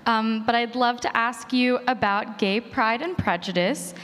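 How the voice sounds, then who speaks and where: A woman's voice: fairly high pitch, steady tone, measured pace, a bit bright. A woman moderating a panel, introducing topics and putting questions to the panelists. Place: large reverberant hall at a live panel. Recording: echoey hall, background noise.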